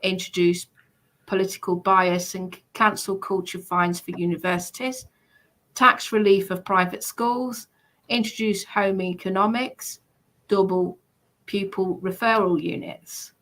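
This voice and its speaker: A British woman presenting informally, reading a list aloud in a halting, explanatory way and adding casual asides. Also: mid-range voice, fairly even intonation, a bit bright. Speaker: presenter going through party policies.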